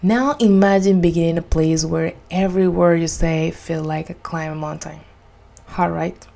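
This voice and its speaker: Venezuelan woman, creaky voice, earnest speaker. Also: lowish voice, steady tone, warm tone. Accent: Venezuelan Spanish. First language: Spanish. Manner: earnest, deliberate non-native English. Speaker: student giving a personal speech. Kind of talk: prepared motivational presentation.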